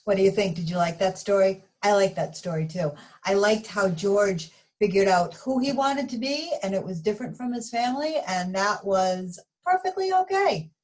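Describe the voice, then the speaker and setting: A woman reads a picture book aloud for young children. Her mid-pitched voice is highly animated, and she speaks to her listeners directly and expressively. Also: moderate pace, few pauses. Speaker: story reader for young children.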